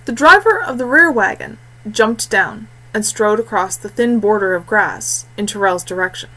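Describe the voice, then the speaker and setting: Female narrator, fantasy audiobook, deliberate phrasing, expressive scripted reading. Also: medium pitch, rough recording.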